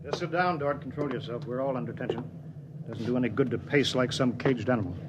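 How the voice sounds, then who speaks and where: An actor playing a stern, weary, resolute starship commander in a 1950s radio drama, authoritative and deliberate. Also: male voice, mid-range voice, muffled recording, noisy background, natural voice. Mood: weary, firm.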